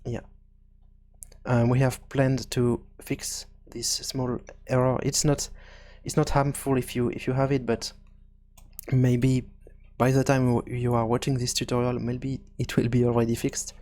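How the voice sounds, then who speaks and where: A man's voice: medium pitch, flat delivery, darkish tone. Man thinking aloud in a coding tutorial. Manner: halting, thinking aloud with repeated words. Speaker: tutorial host and game developer. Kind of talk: casual screencast programming tutorial.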